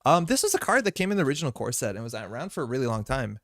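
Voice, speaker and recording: A man hosting a live stream chats loosely, wandering between thoughts with plenty of fillers and restarts. His first language is Slovenian, and his voice sits at a middling pitch. It was recorded dry and close on a desk mic. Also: very animated, rapid-fire, fairly bright tone, no echo. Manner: rambling, many fillers and restarts. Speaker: stream host. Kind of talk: casual live-stream chat.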